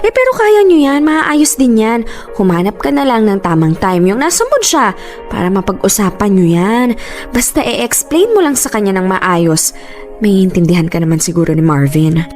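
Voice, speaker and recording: A woman's voice: mid-range voice, big pitch swings, normal speed, a little mellow, soft background music, natural voice. A young woman with a Filipino accent acting a chatty, sympathetic best friend handing out advice in a radio drama. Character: bubbly, supportive young best girlfriend giving advice.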